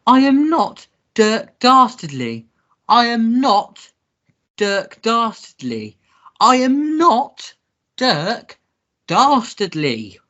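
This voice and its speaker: A woman playing an indignant gentleman wrongly arrested, squeaky and girlish, in a melodramatic radio play. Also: sing-song, drawn-out words, full character voice. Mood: pleading, insistent. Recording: dull lo-fi recording.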